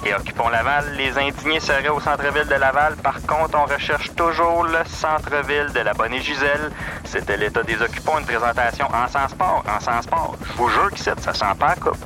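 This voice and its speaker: A man's voice: medium pitch, fairly quick, lightly acted. A man with a Quebec French accent playing a mock field reporter, delivering a formulaic parody traffic bulletin. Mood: mock-serious bulletin. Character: deadpan traffic-style bulletin reporter on protest occupations. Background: music under the voice.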